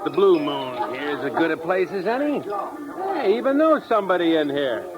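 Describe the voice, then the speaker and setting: High-pitched, boozy, swaggering sailor. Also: male voice, big pitch swings, normal speed, over loud music. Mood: boozy, chummy. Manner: rowdy, repetitive, tipsy, backslapping talk. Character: drunken, boisterous, big-spending sailor, a chummy loudmouth. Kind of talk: old-time radio drama acting. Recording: old radio recording, bar crowd behind.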